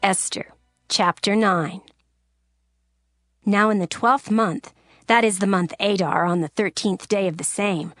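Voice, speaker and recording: A woman narrating scripture in a steady, formal storytelling cadence. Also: mid-range voice, no echo, clean studio sound. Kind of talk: dramatized scripture reading. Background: soft music.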